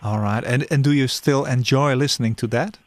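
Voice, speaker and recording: A man's voice: medium pitch, a little fast, dry recording. A man interviewing a guest, a non-native English speaker whose first language is Dutch, often repeating words as he shapes his questions. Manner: hesitant, repeats words while forming questions.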